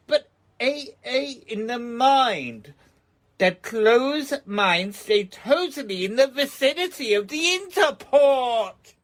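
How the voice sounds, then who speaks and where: A woman playing a ranting, confrontational street doomsayer in an audio drama, declaiming in rambling speeches. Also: on the high side. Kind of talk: theatrical audio drama monologue.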